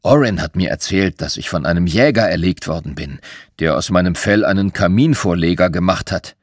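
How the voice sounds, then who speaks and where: A man voicing a kindly old bear spirit living in a flying rug, speaking gently and calmly. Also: deep voice, fairly quick, no echo, clean studio sound, pitched below the performer's own voice. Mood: gentle. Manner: gentle, chuckling, calm.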